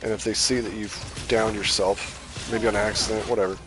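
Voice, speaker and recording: A man's voice: lowish voice, level tone, moderate pace, poor audio. A man giving casual, rambling gameplay commentary on a livestream, his voice starting to tire. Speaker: game livestreamer.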